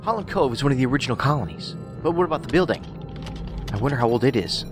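An actor playing an eccentric, analytical federal investigator in an audio drama, wordy and dryly whimsical. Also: male voice, medium pitch, animated, fast talker, music in the background, lightly acted. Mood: pensive. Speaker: federal agent, profiler.

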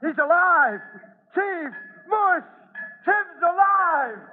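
Young firefighter, very high voice, excitable, dutiful, vintage radio serial, long echo, tinny sound. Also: male voice, very slow, soft background music, heavily acted. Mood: overjoyed, breathless. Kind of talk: old-time radio drama acting. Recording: tinny, reverberant, faintly noisy vintage radio.

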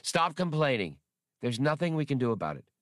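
A man voicing a sensible, sharp-tongued woman traveler who reasons with dry sarcasm, in an audiobook. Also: medium pitch, big pitch swings, brisk, no echo, voice pushed up, natural voice. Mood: firm, reasoning.

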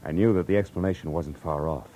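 An actor playing a tough, wry private detective in an old radio drama, with a terse, wisecracking delivery. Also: male voice, low-pitched, fast talker, mellow, soft background music, lightly acted. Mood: grim, wry narration. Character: hard-boiled, wry male private detective. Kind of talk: 1940s radio drama acting and narration. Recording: noisy old radio recording.

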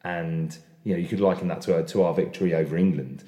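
Man, deep mellow voice, lively opinionated rugby talk, echoey room. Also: quick pace. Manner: enthusiastic, opinionated, repeats words when starting. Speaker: podcast host. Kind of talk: casual sports chat.

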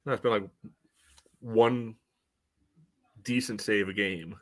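A man with a fairly low voice gives casual sports analysis as a podcast co-host. His delivery is halting and padded, working through each point piece by piece. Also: fairly even intonation, fairly bright tone. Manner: halting, peppers talk with "you know".

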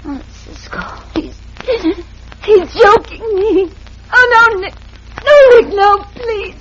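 A woman's voice: very high voice, expressive, slow pace, heavily acted. A woman playing a terrified young frontier damsel, faltering and melodramatic, in an old radio western. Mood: delirious pleading. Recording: noisy, muffled vintage radio recording.

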